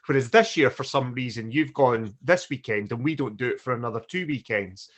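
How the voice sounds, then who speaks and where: A man from Britain co-hosting a podcast, explaining things in an animated way and acting out quotes. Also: medium pitch, brisk. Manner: animated storyteller, acts out quotes. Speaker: podcast co-host. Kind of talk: casual conversation.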